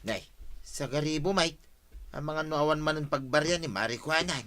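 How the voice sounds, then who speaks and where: An actor playing an easygoing husband who buys snacks on credit. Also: male voice, on the high side, normal speed, fairly bright tone, natural voice. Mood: casual. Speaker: husband of the household. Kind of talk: Ilocano radio drama acting.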